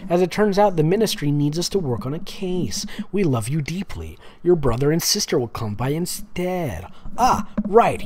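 A man's voice: medium pitch, darkish tone, a little hiss or hum. A man reading fiction aloud in an amused, sarcastic way, with casual mocking asides. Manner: amused, sarcastic, breaks off to comment. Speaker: host reading fan fiction aloud with commentary.

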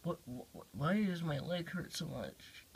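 A man's voice: on the high side, animated, unhurried, vocal fry, slight background noise, voice pushed up. A man voicing a hurt, confused son in a homemade comic, stammering and exclaiming. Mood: pained.

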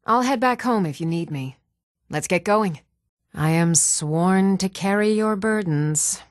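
An actress playing an earnest, dutiful woman of a fantasy realm in a video game, speaking in a measured, composed and formal way. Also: mid-range voice, lively intonation, dry recording, studio quality, close to an everyday voice. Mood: loyal, steady.

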